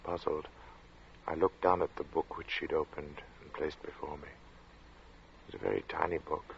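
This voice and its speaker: An actor playing an earnest narrator of a strange tale, speaking in a measured, theatrical 1940s radio drama style. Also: male voice, rumbling low, little pitch movement, gravelly, slight background noise. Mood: measured, puzzled. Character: earnest male narrator recounting a strange encounter. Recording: muffled vintage radio recording with music.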